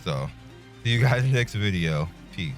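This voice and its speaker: A man hosting a gaming video, giving casual review commentary in a laid-back, colloquial, halting way. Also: lowish voice, expressive. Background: music.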